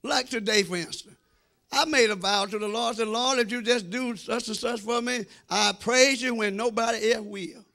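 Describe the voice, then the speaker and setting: A middle-aged man preaching an animated, emphatic sermon, repeating phrases and calling for response in a church, on a handheld microphone. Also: very high-pitched, moderate pace, vocal fry. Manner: emphatic, repeating phrases, swinging between shouts and quieter asides. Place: church sanctuary, speaking from the front.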